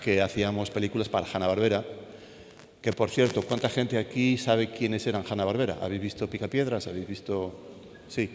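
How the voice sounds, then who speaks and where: A man with a low voice and a Castilian Spanish accent talks conversationally to an audience. He tells anecdotes and throws questions out to the room. The sound rings with hall reverb over a faint background noise. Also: steady tone, a little fast. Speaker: animator, panel speaker. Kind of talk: informal talk to an audience, anecdotes. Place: school event hall with an audience.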